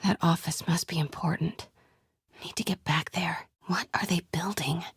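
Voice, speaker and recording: A woman voicing a plucky, curious young American reporter in a video game, with a breathy voice and a natural, wry delivery. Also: lowish voice, steady tone, normal speed, dry recording. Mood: hushed, tense. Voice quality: breathy, often hushed.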